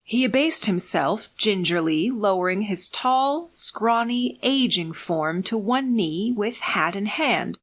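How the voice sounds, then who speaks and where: Measured woman narrating fiction, medium pitch. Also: lively intonation, husky. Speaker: audiobook narrator.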